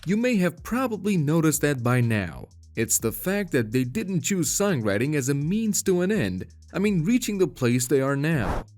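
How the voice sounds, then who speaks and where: A man's voice: a little high, sing-song, normal speed, hardly any pauses, darkish tone. A man narrating a scripted voice-over and explaining his points. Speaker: video narrator.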